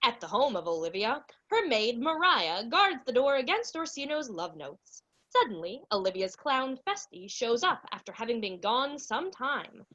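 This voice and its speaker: A woman's voice: a little high, big pitch swings, moderate pace, pushed and bright, dull audio. A young woman announcing and narrating a scripted radio play in old-time 1940s radio style. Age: young adult.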